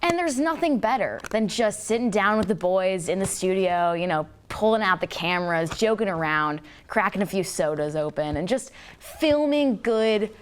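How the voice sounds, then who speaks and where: A young adult woman hosting a casual student talk show, playful and teasing as she jokes her way through quick riffs. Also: mid-range voice, lively intonation. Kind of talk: casual chat.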